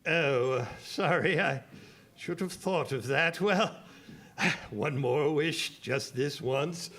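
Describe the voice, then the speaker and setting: A man's voice: on the high side, slow, a bit bright. A man playing a fussy but kindly fairy godparent who teaches at an academy, theatrical and a little hesitant, in children's audio theater. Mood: reluctant, exasperated.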